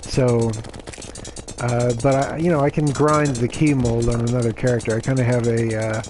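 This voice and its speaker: A man streaming a game, voice a little raspy from reading aloud the day before, chatting casually with lots of "you know"s and "uh"s. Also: mid-range voice, level tone, moderate pace, music in the background, rough recording. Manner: chatty and self-justifying, lots of fillers. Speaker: gaming streamer. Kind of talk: casual gameplay commentary.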